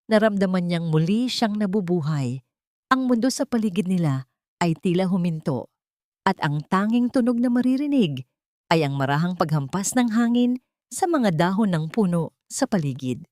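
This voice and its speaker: Woman, Filipino accent, lower-pitched, dry close sound, solemn melodramatic storytelling. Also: warm tone, no room sound. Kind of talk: dramatic scripted story narration.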